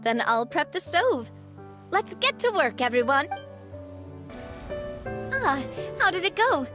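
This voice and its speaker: A woman's voice: extremely high pitch, sing-song, slow pace, over music, heavily acted. A woman playing a cheerful, gracious young female leader in an anime video game, with a friendly, sincere manner. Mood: cheerful, encouraging.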